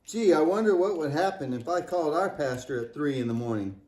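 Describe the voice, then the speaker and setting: A man's voice: on the high side, lively intonation, a little fast, dark tone, lightly acted. A man playing a cheeky, wisecracking, imaginative boy puppet in a scripted church puppet show, joking and exclaiming playfully. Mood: curious, joking. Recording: echoey room.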